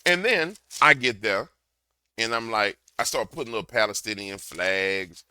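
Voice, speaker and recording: A man with a big booming voice doing a slangy, heated reaction rant into a desk microphone. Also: animated, a little slow, smooth, no room sound. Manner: loud, slangy, repetitive, heated. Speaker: commentator reacting to a clip. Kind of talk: ranting reaction commentary.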